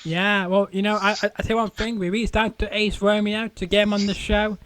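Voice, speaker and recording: A man hosting a casual interview show, chatty and rambling, with a croaky voice. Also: high-pitched, little pitch movement, brisk, a bit bright. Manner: rambling, chatty, many fillers. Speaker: podcast host.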